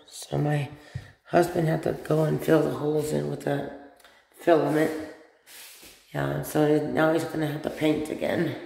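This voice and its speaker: A woman talks slowly and hesitantly into the phone she holds, her voice carrying a gravelly fry. She rambles in a casual home vlog, recorded in a small bedroom. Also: low voice, warm tone. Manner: slow, hesitant, loses her words, nervous. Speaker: vlogger showing her doll collection. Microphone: phone camera held by the speaker, off screen.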